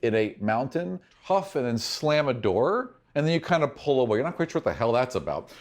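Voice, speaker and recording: A man's voice: mid-range voice, big pitch swings, a little fast. A man working as game master, narrating a tabletop game in a descriptive, conversational way and thinking aloud as he goes.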